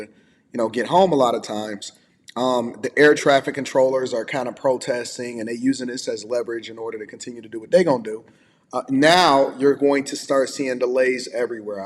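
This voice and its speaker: A man talks through his opinions on the news in a casual commentator's style. His voice sits at a middle pitch. The room adds a long reverb around it. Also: slight background noise. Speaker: online commentator.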